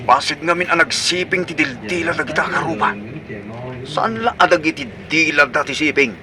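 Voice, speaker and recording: An actor playing a pushy, excitable young son and boyfriend in an Ilocano radio comedy drama, speaking with an Ilocano accent. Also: male voice, a little high, normal speed, a crowd in the background, close to an everyday voice. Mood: coaxing, sly. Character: pushy, excitable young adult son courting his girlfriend.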